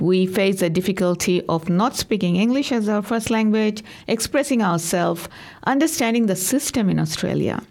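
Earnest woman from Bangladesh, gravelly tone. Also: mid-range voice, a little mellow. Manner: earnest, halting with many ums. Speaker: community worker and guest. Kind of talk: interview answers.

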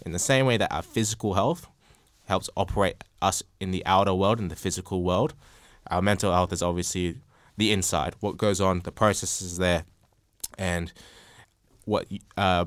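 A young man hosts a podcast panel with a very low voice. He talks in a measured way, leaning on analogies and scattering "uh" fillers as he goes. The sound is dry and close. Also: dry recording. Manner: frequent "uh" fillers. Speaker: podcast host. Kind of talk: casual panel discussion.

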